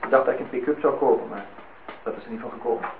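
A man's voice: medium pitch, very fast, phone-quality sound, close to an everyday voice. An actor playing a guarded, prickly, self-deprecating man in a naturalistic radio drama, on a noisy old recording. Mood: wry, casual. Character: reserved, prickly, self-deprecating male amateur painter.

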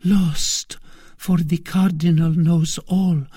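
A man's voice: a little high, level tone, slow, mellow, husky, no echo, clean studio sound, pitched above the performer's own voice. A man voicing a frightened, desperate queen who pleads in trembling exclamations. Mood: despairing.

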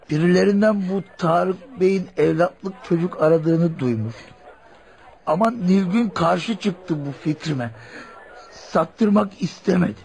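An actor playing a hard-drinking, poor father who confesses his crimes bluntly and haltingly in a radio drama. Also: sits high, creaky, darkish tone, full character voice.

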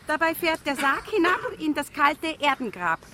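A woman's voice: high-pitched, very animated, moderate pace, bright, forceful tone, a little hiss or hum, exaggerated voice. A woman playing a bossy, businesslike funeral organiser in a rhyming radio play. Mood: brisk, bossy.

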